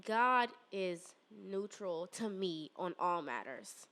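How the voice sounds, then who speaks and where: A woman's voice: on the low side, slow. A woman podcast host talking earnestly in a casual spiritual chat, a little congested. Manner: earnest, animated, breaks into song.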